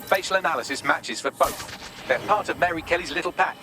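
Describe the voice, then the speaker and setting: An actor playing a witty, chatty AI assistant in a video game, full of dry sarcasm. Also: male voice, fairly high pitch, fairly quick, lightly acted. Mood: brisk analysis. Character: witty sardonic male AI assistant. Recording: loud music behind the voice. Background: game music.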